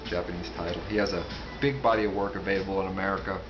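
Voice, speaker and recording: Music plays clearly behind a man with a fairly low voice. He talks casually and without a script, in a halting, rambling way with asides that trail off. The recording is a little dull and lo-fi. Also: fairly even intonation. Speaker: book reviewer, vlogger. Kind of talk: casual, unscripted talk to camera.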